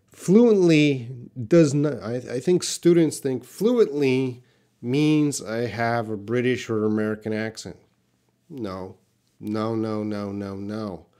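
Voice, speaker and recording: A man hosting a live English lesson, answering learner questions in a deliberate, emphatic way and repeating key points. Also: mid-range voice, mellow. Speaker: English teacher, live Q&A host.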